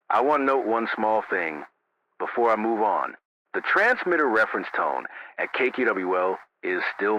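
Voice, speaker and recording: A man playing a grave, careful emergency radio broadcaster on night shift, measured and precise, heard through a lo-fi radio filter. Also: lowish voice, sharp tone. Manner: measured, precise, solemn, deliberate. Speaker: radio announcer. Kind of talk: analog horror emergency radio broadcast narration. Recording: dull lo-fi radio sound, faint noise.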